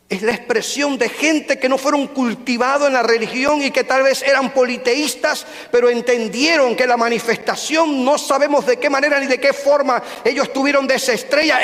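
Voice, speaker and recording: A man's voice: extremely high pitch, a bit bright. A male pastor, preaching a sermon with rising fervor and calling out to the congregation. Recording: long hall reverb.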